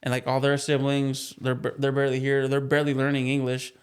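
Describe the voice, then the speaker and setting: A man's voice: medium pitch, monotone, fairly quick, smooth. A man whose first language was Spanish, a podcast guest, explaining things in an easygoing way, full of "like" and "man". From California, USA. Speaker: guest, plumbing business co-owner. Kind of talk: casual interview chat. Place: podcast studio.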